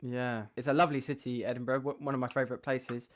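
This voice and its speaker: A man's voice: mid-range voice, flat delivery, fast. A man hosting a podcast, introducing and questioning in an interview style. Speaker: podcast host.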